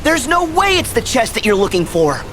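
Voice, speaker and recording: A man's voice: extremely high pitch, big pitch swings, normal speed, noisy background. A man playing an eager, headstrong young hero in a video game, earnest, blunt and exclamatory. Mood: questioning. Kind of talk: video game cutscene acting. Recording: loud background music.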